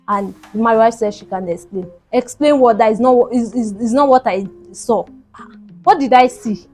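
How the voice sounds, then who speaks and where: High-voiced woman telling a dramatic story. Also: darkish tone. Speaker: storytelling show host. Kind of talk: dramatic first-person storytelling read-out.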